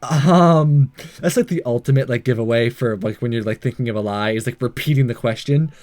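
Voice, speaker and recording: A man's voice: medium pitch, fairly quick, darkish tone. A man hosting a let's play, reading visual novel dialogue aloud in a playful, theatrical way with joking asides. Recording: game music behind.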